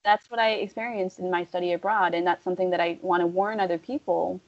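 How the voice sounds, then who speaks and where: A young woman talking casually and at length, full of fillers, turning animated when she quotes other people. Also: on the low side, quick pace. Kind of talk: casual conversation. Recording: dull lo-fi audio.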